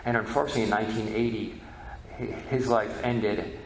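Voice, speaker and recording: Low-voiced man lecturing in an echoey room. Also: steady tone. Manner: halting, hesitant delivery with repeated words. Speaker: presenter, media history researcher. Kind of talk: informal convention lecture. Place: presentation room at a convention. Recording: echoey room with background noise.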